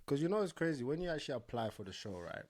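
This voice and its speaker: A man hosting a casual interview, talking in a halting way and repeating himself. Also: a little high, big pitch swings, a little mellow. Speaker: interviewer, show host.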